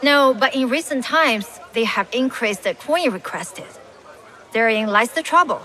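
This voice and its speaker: Game merchant: foreign woman trader, forceful bright tone, worried, earnest, faint crowd behind. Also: fairly high pitch, expressive, a little slow. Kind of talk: video game cutscene acting.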